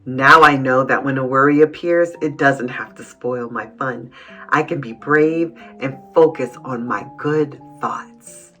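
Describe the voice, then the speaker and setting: A woman's voice: very deep voice, measured pace, fairly bright tone, faint music behind, pitched below the performer's own voice. A woman voicing an earnest, worried young boy who ponders his thoughts in a storybook read-aloud. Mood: reassured.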